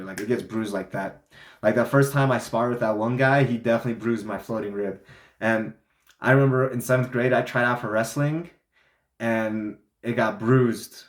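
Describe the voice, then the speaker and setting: A man's voice: medium pitch, roomy sound. A young man telling a story in a casual, rambling way, full of fillers and restarts, sounding congested. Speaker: vlogger.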